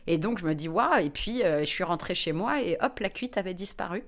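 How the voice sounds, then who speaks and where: A woman comedian, a guest in an interview, telling lively personal anecdotes with frequent fillers. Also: on the low side, fairly quick. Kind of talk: anecdotal storytelling in conversation.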